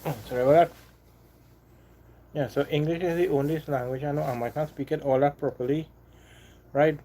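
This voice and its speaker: A man from Trinidad with a Trinidadian accent, hosting a casual live stream and chatting with viewers in a halting, repetitive way. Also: fairly high pitch, fairly even intonation, warm tone. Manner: halting, repeats himself, apologetic then defiant. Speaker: livestream host.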